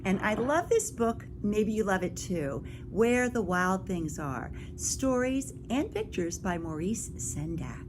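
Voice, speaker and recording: A woman reads a picture book to young children at an unhurried pace. Her delivery swings widely in pitch, with suspenseful hushes and questions for the listeners. There is clear noise in the recording. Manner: expressive, dropping into whispers for suspense. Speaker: school librarian or teacher reading to children.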